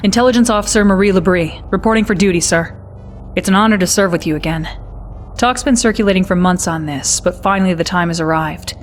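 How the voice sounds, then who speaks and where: Woman acting a low-voiced, wry intelligence officer. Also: fast, faint music behind, natural voice. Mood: brisk, wry. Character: brisk, wry female military intelligence officer. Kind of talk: scripted mission briefing.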